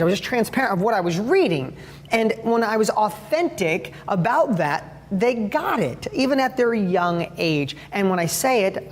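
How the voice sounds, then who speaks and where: A middle-aged man with a high-pitched voice, giving a motivational talk and telling stories with enthusiasm and acted-out quotes. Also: big-room sound, slight background noise. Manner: enthusiastic storyteller, acts out quotes. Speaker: speaker on sales and parenting.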